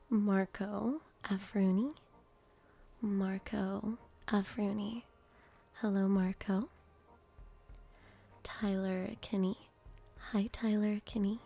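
A woman doing ASMR as a host, greeting people one by one in short, gentle phrases, moving between a breathy whisper and soft speech. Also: medium pitch. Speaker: host greeting viewers by name. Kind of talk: ASMR name reading.

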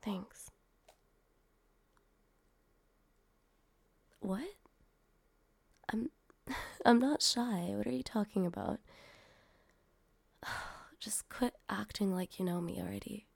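A young woman playing a guarded young woman who confides in her new driver, speaking softly in a half-whisper to the listener. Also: mid-range voice, takes it slowly, creaky, long pauses, husky, no room sound, full character voice. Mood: teasing. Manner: soft, hushed, half-whispered delivery. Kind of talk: one-sided audio roleplay addressing the listener.

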